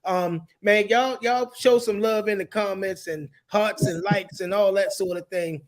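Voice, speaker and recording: A man's voice: sits high, fairly even intonation, a little slow. A man hosting a live-stream show, talking in a hyped, slangy, effusive way. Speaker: show host. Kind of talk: casual live-stream chat.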